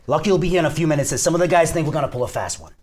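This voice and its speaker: A man playing a commanding, wary mob boss in a script table reading, brisk and bossy. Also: a little high, animated. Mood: urgent.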